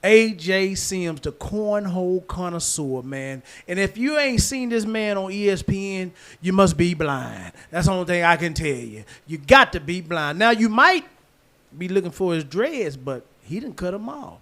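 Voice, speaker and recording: A man with a fairly high-pitched voice hosts a podcast. He chats casually and slangily, with animated, informal banter. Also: moderate pace. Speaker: podcast host.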